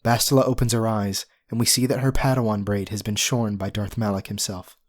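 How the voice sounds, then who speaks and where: A man's voice: on the low side, flat delivery, brisk. A man narrating a scripted audio drama, reading scene directions in a dramatic manner.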